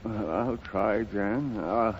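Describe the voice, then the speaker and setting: An actor playing a middle-aged alcoholic father in 1930s radio style, weary and remorseful, with a halting, stammering delivery. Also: fairly low pitch, husky. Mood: remorseful, reluctant. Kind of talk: 1930s American radio acting. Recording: muffled lo-fi 1930s radio broadcast.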